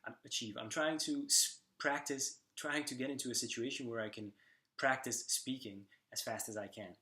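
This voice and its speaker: A man's voice: mid-range voice, fairly even intonation, moderate pace, bright, forceful tone. Slovak man vlogging with many ums. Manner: thoughtful, lots of ums and restarts. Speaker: language-learning vlogger. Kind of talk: casual talk to camera.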